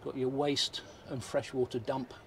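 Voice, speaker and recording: A man's voice: medium pitch, flat delivery, a little slow. Chatty man touring a van. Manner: chatty, thinking aloud, mild remarks. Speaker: motorhome vlogger. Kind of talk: casual walkaround commentary. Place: inside a motorhome at an indoor trade show. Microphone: handheld camera mic. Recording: noisy exhibition hall.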